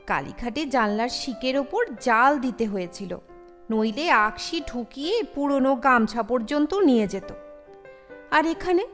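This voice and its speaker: A woman reading a short story aloud as a scripted audio narration. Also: fairly high pitch. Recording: long reverb over the voice. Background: background music.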